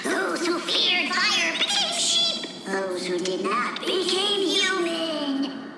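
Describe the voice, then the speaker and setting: An actor voicing an excitable, cheeky little creature sidekick in a video game, boastful and theatrical. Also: very high-pitched, takes it slowly, far from the mic, very noisy, exaggerated voice. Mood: excited. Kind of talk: video game character acting. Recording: echoey game audio under loud music.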